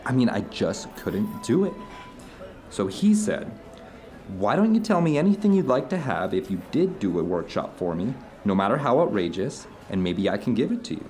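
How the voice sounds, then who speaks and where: A man's voice: on the low side, very animated, moderate pace, darkish tone, soft background music. A man playing an earnest theater director telling stories to an old friend in a conversational audio drama. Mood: reflective, earnest, then amused storytelling. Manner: storytelling, earnest, discursive. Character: animated theater director recounting his travels to an old friend.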